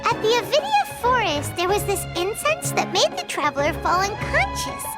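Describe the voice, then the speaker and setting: A tiny fairy sidekick from a video game, with an extremely high, childish voice that swings around in pitch. The delivery is chirpy, curious and blunt. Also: female voice, huge pitch swings, normal speed, loud music behind the voice, exaggerated voice. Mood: eager, curious. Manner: chirpy, childish, refers to self in third person. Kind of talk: scripted video game voice acting.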